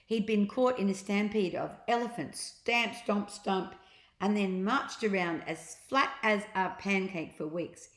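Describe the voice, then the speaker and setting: A woman's voice: mid-range voice, a little slow, fairly bright tone, echoey room. A woman reading a children's story aloud expressively, acting out sounds and adding little asides to her listeners. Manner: theatrical, acts out sound effects.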